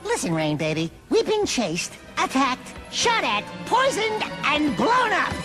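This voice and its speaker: Little wisecracking critter: high, wildly swooping, sarcastic video game sidekick, music underneath. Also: male voice, unhurried, heavily acted. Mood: exasperated. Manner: sarcastic, excitable. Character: small, wisecracking, whiny cartoon animal sidekick. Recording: music behind the voice.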